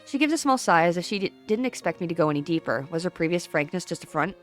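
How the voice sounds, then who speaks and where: A woman reads a visual novel's first-person narration aloud at a fast clip, her voice sitting fairly low. She now and then trips over a word and restarts, with faint music underneath. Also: lively intonation. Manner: brisk, with occasional stumbles.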